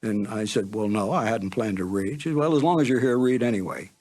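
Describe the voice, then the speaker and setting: A man's voice: lowish voice, a little fast, a little mellow. Older American man reminiscing. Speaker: former radio announcer reminiscing. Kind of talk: reminiscing narration, storytelling.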